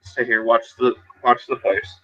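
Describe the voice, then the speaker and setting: A man playing a shy, nervous, reassuring male friend in a tabletop roleplaying game, speaking haltingly in a dull, lo-fi recording. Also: mid-range voice, steady tone, pushed and bright, pitched below the performer's own voice. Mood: hesitant, reassuring.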